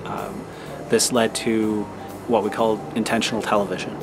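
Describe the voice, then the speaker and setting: A middle-aged man giving a thoughtful, halting personal monologue as a vlogger, in a measured way. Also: fairly low pitch, steady tone, over loud music. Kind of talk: personal reflective monologue to camera.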